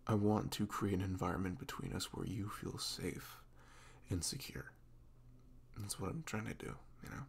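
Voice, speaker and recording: A man playing a sweet, goofy, self-conscious young boyfriend in an intimate ASMR roleplay, speaking in a halting, casual, reassuring way. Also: sits low, gravelly, slightly rough, full character voice. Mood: earnest, reassuring.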